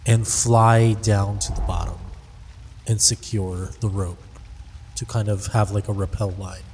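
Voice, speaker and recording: A man with a lowish voice talks as a player in a tabletop game, describing his character's actions. He takes his time, and his voice sits in a long reverb with faint rain-like noise. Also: fairly even intonation, unhurried, a little mellow.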